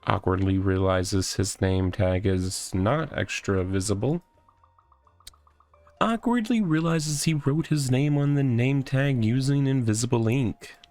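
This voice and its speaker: A man reads as a wry narrator of a comic visual novel. The delivery is mock-serious, unhurried and creaky, with faint music behind. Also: mid-range voice, darkish tone, close to an everyday voice.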